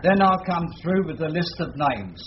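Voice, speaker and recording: High-voiced, shifty petty crook. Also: male voice, big pitch swings, normal speed. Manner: slangy, defensive, wheedling. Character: shifty small-time crook turned police informer. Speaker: witness. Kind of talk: radio drama acting. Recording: noisy, muffled radio drama recording.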